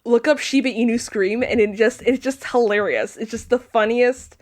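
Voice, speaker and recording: A woman chatting casually as a voice actor guest on a podcast, with an animated, jokey manner. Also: on the high side, normal speed. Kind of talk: casual chat.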